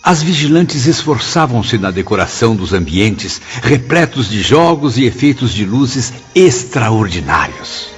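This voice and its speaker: An actor with a Brazilian accent playing a dignified spirit who speaks in a solemn, literary radio drama style. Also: male voice, medium pitch, sing-song, a little slow, few pauses. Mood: solemn recollection. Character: dignified male spirit recounting his afterlife service. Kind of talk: dramatised first-person narration. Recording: dull lo-fi track, music bed.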